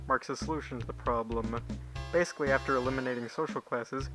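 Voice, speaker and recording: Male narrator, mid-pitched, scripted educational explainer delivery, music underneath. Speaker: narrator of a puppet show.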